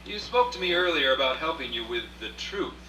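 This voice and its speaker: A skeptical, plain-talking man of firm morals played by an actor in a radio drama. He questions bluntly and casually in a bright voice, heard from a little way off in an echoey room. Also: on the high side, expressive, brisk. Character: plain-spoken, skeptical male visitor, quietly moral. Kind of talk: live radio play acting. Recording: roomy, distant, faint hum, old rehearsal tape.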